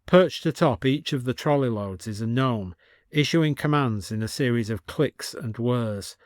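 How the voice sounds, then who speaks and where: A man reading a scripted story as an expressive storyteller with dry comic delivery. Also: medium pitch, unhurried, dry recording.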